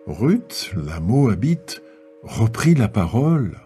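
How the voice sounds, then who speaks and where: A man narrating dramatised scripture in a solemn, measured storytelling delivery. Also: lowish voice, big pitch swings, dark tone, soft background music.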